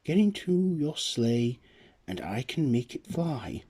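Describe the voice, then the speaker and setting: A man voicing the Tooth Fairy, a gentle female fairy who collects teeth, speaking softly and kindly. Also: medium pitch, sing-song, slow, breathy edge, pitched above the performer's own voice, full character voice.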